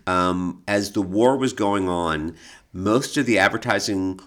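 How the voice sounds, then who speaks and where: A man co-hosting an informative podcast conversation, explaining things in a measured way with hesitant pauses. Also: low-pitched, a bit bright. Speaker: podcast co-host, creative director of an arts foundation.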